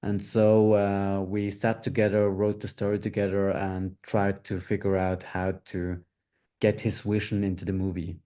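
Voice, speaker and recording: Low, mellow-voiced man from Switzerland. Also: flat delivery. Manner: measured, plain answers with fillers. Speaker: film producer, guest. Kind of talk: interview answers.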